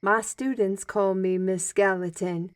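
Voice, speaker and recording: A woman playing a towering half-blood moose teacher, measured and gently instructive, in an audio drama. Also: mid-range voice, fairly even intonation, no echo, studio quality, lightly acted. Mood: calm, kindly.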